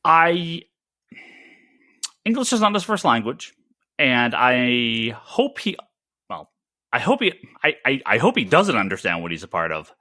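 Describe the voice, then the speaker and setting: A man's voice: medium pitch, sing-song, measured pace, long pauses, pushed and bright, no echo, clean studio sound. An American man hosting a casual podcast discussion, giving opinions emphatically and stammering over repeated words. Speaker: podcast host; comic shop retailer.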